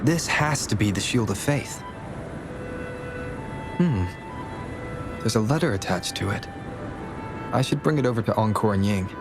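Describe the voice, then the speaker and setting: A man playing a calm young adventurer hero in an anime-style game. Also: fairly low pitch, measured pace, pauses often. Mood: pleased, thoughtful. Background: music.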